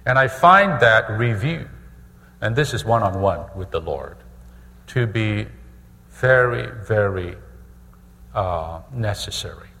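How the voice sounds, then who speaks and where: A middle-aged man from Hong Kong preaching a testimony sermon, measured and repeating phrases for emphasis. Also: fairly low pitch, expressive, sharp tone, big-room sound. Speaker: pastor.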